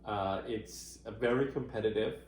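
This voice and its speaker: A middle-aged man from Iran answering interview questions in a thoughtful, unhurried way, with many fillers and restarts. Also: fairly low pitch, steady tone, a little hiss or hum. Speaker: interviewee, marketing professional. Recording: roomy echoey room.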